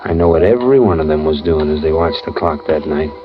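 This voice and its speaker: A man's voice: a bass voice, lively intonation, quick pace. An actor playing a lonely, fearful condemned man, narrating his last hours in plainspoken, brooding first person for a vintage radio drama. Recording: muffled old radio recording with music.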